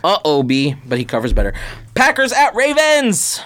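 A man talking casual sports banter as a podcast guest, excitable, slangy and prone to swearing. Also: high voice, normal speed, dry recording, studio quality. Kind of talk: casual sports banter, picking game winners.

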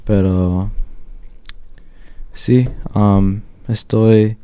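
A teenage boy, a student, speaking hesitantly in a group discussion, halting with "um". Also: lowish voice, steady tone, warm tone, clean tone.

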